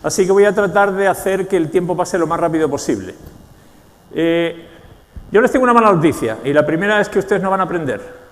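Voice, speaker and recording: A man's voice: high-pitched, animated, a little fast, in a large hall. A man from the Basque Country with a Spanish accent, giving a joking, ironic keynote talk to a business audience. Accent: Spanish, from Spain. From Spain, Basque Country. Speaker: conference speaker. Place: large event hall.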